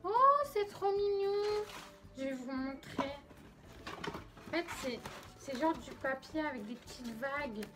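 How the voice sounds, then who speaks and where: A woman's voice: high-pitched, a little slow, long pauses, over music. A young woman with a slight cold in her throat, chatting casually and giggling as she unboxes makeup. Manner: chatty, filler-heavy, giggly. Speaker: beauty vlogger.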